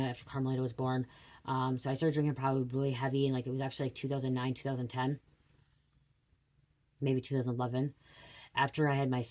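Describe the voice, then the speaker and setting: A woman's voice: rumbling low, darkish tone. A middle-aged woman talking casually and confessionally, blunt and sweary, repeating phrases for emphasis. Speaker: host giving a spiritual reading.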